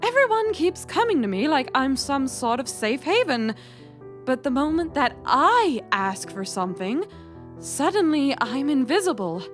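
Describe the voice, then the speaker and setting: A timid, sweet cartoon mask creature played by a woman speaks slowly in a high, plaintive voice. She sounds fragile and insecure, with soft music behind her. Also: big pitch swings. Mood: anguished. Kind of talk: cartoon comic dub.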